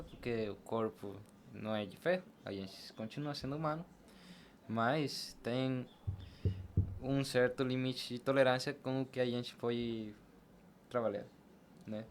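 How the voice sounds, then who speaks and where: A man's voice: medium pitch, slight background noise. A man speaking as an interview guest, telling his experiences in a conversational podcast style. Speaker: podcast guest, barber and shop team leader.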